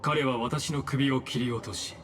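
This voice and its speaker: An actor playing a cryptic, composed scholar antagonist in a video game, speaking with formal, measured politeness. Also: male voice, medium pitch, fairly even intonation, fairly bright tone, natural voice. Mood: coolly reflective. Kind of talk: Japanese video game dub acting. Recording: game music behind the voice.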